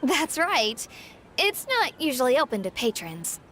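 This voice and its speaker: A woman's voice: high voice, sing-song, a little slow, a bit bright, slight background noise, full character voice. A woman voicing a polite, eager young female student in a video game. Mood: helpful.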